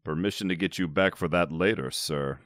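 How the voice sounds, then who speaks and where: Extremely deep-voiced loyal lieutenant. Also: male voice, brisk, a bit bright, no room sound, studio quality. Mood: steady, wry. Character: loyal, dryly teasing male lieutenant. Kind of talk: audio drama dialogue.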